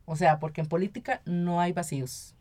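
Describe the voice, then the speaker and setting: A woman's voice: lowish voice, brisk, warm tone. Costa Rican woman explaining in an interview. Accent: Costa Rican Spanish. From Costa Rica. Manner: explanatory, often ends phrases with a checking tag question. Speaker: interview guest, local councillor and activist. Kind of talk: conversational interview.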